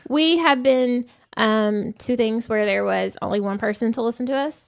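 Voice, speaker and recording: A woman in her thirties co-hosting a podcast, soft-spoken and a little nasal, pausing often with ums as she gathers her thoughts. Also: a little high, gravelly, dry recording, studio quality. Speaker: podcast co-host. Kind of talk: casual podcast chat.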